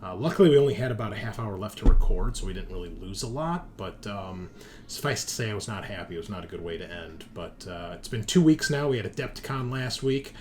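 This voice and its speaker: A man's voice: lowish voice, animated, fast talker, a little mellow. A man chatting easily and casually as he hosts and introduces a tabletop game show. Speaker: podcast host and game master. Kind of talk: casual podcast intro chat. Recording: noticeable background noise.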